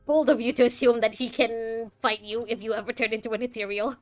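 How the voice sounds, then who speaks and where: A woman's voice: a little high, steady tone, fairly quick, no room sound. Playful woman streaming a game. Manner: playful, teasing. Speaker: streamer playing a game blind. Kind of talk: casual playthrough commentary, reading game text aloud. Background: game music.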